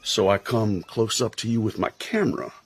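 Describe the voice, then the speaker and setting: American agent in a radio play, husky, slangy wisecracking old pal type, close dry sound. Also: male voice, fairly low pitch, normal speed, no room sound. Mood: brisk, wisecracking. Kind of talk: radio drama acting.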